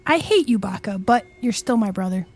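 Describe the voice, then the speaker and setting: A woman playing a scowling, feisty pseudo-sister in an audio drama, with a snappy, animated delivery. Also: mid-range voice, fairly quick, faint music behind. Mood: irritated. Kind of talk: audio drama character acting.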